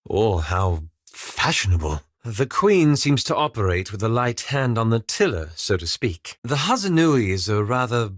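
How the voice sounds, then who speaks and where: Low-voiced, scholarly fantasy adventurer. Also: male voice, big pitch swings, no room sound, studio quality. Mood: measured, thoughtful. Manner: formal, measured, articulate, wry understatement. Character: reserved, scholarly, anxious male fantasy adventurer, courteous and candid. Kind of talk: scripted video game character dialogue.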